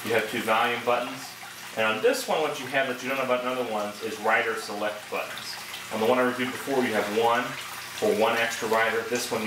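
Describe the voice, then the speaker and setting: Echoey, distant man reviewing a product. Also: mid-range voice. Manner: explanatory, step-by-step, conversational. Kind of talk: casual product demonstration and review. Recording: echoey, distant mic, clear background noise.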